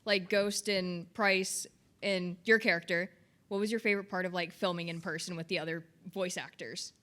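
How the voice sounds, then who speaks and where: A woman's voice: fairly low pitch, fairly even intonation, moderate pace, fairly bright tone. A woman asking a casual, unscripted question from the audience at a panel.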